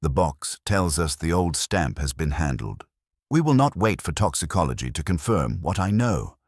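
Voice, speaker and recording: A man voicing an imperious, cerebral detective in an audiobook, speaking formally and deliberately. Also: low voice, big pitch swings, slightly rough, dry recording, studio quality, exaggerated voice.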